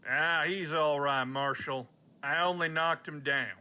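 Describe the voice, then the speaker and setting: Man acting a hot-tempered, high-voiced Western bully. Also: fairly even intonation, a little slow, pushed and bright. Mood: defiant, bitter. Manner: confrontational, gruff cowboy talk. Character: hot-tempered, jealous, threatening Western brother. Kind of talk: Western radio drama acting.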